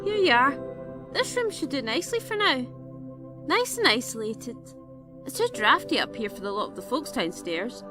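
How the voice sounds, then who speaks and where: Woman acting chatty young gal in an audio drama, really high voice, teasing, music under it. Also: big pitch swings, normal speed. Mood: casual, friendly. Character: friendly, chatty young woman of a ruined tower community.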